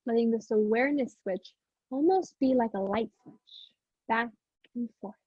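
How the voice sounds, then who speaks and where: A woman with a fairly high voice leads a guided meditation as a teacher. She speaks gently and takes her time, leaving long pauses between instructions. The sound is dry and close, though somewhat dull and lo-fi. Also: breathy edge, dry recording.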